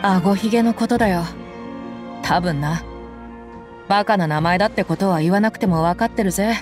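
A woman voicing a tough young mercenary who talks in a rough, casual way in a game cutscene. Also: mid-range voice, moderate pace, over music, natural voice. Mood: easygoing. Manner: rough, casual, gruff slang. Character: tough young female mercenary, blunt, easygoing. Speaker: game character.

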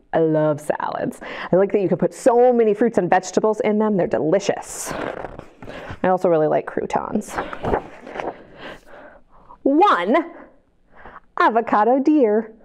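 A woman's voice: medium pitch, a little hiss or hum. A woman librarian leading a children's storytime, reading picture books aloud and talking encouragingly to the kids at home through her camera. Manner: bright, encouraging, talks to kids. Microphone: camera mic.

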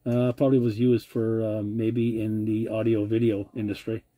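Courteous cb radio guy, dark warm voice, desk mic. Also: lowish voice, flat delivery, moderate pace. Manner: courteous, radio procedure phrasing. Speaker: CB radio operator. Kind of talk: CB radio contact.

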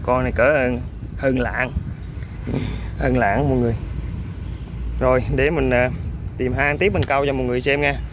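A man with a Vietnamese accent hosting an outdoor eel-fishing vlog by a riverbank. He gives running commentary to viewers while he works, with excited exclamations. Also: medium pitch, normal speed, pauses often, background noise. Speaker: eel-fishing channel host.

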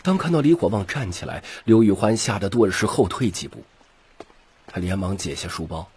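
一名男性有声书播讲者，以戏剧化的方式照稿讲述故事。. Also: male voice, deep voice, a little mellow, slight background noise. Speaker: audiobook narrator. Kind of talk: scripted dramatic story narration.

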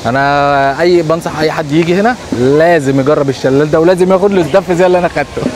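A man in his thirties with an Egyptian Arabic accent, vlogging excitedly outdoors and in pavilions, talking straight to viewers. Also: fairly high pitch, racing, slight background noise. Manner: excitable, chatty, addresses viewers directly. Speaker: travel vlogger. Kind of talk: casual travel vlog. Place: outdoor expo grounds and indoor pavilions.

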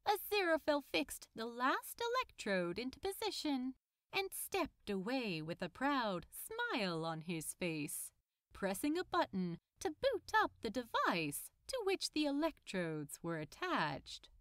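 A woman reading fiction aloud as an audiobook narrator, in an expressive storytelling style. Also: a little high, unhurried, dry recording, clean studio sound.

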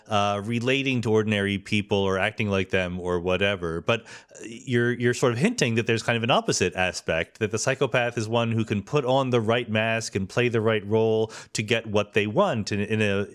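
Low-voiced curious male podcast host. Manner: curious, thinking aloud with fillers. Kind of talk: conversational interview.

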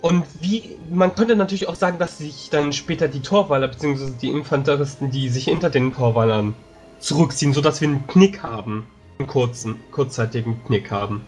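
Halting male player proposing tactics. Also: medium pitch, a little mellow, soft background music. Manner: searching for words, halting. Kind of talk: casual strategy discussion.

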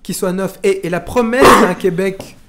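A man in his forties from Rwanda, talking slowly and hesitantly with many restarts, recorded on a studio boom mic in a small room. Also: very noisy. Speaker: podcast host. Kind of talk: reflective conversation. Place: small room with pink walls, indoors. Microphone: studio mic on boom arm.

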